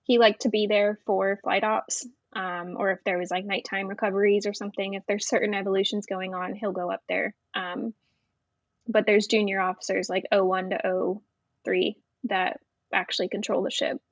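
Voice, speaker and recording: A woman's voice: mid-range voice, flat delivery, normal speed, no echo, dull audio. A woman, a Navy veteran, chatting frankly and casually as a podcast guest, with many fillers. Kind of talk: casual interview chat.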